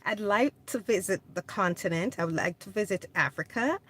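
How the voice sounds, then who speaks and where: A woman's voice: medium pitch, lively intonation, fairly quick, a bit bright, slightly rough. A woman born in Jamaica, chatting casually on a livestream, answering viewer comments and laughing often. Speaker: livestream host. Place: home in California.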